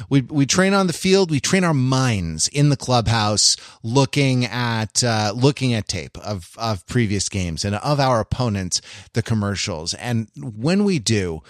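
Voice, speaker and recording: A man hosts a casual podcast. His voice sits at a middling pitch in a dry recording. He speaks haltingly, repeating and reworking phrases as he goes. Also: dry recording. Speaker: podcast host. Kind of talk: casual conversational podcast hosting.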